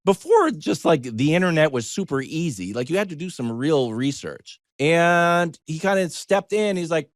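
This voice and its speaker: An American man giving conversational sports talk as a commentator, animated, digressive and self-deprecating as he tells stories. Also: a little high, normal speed, hardly any pauses, no room sound, studio quality.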